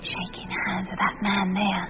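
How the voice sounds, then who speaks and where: A woman's voice: medium pitch, completely flat delivery, unhurried, muffled recording, over music, lightly acted. A young English woman playing a dim, brash, easily upset actress in a radio drama, blunt and artless in the way she talks. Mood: dim, offhand.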